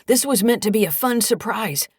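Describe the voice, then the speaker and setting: A troublemaking grown son in an audiobook, voiced by a woman narrator, defensive and emphatic. The voice is husky and pushed up with indignation, recorded very dry. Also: on the high side, expressive, moderate pace, no echo, voice pushed up. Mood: indignant pleading. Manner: spluttering, emphatic. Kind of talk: audiobook character dialogue.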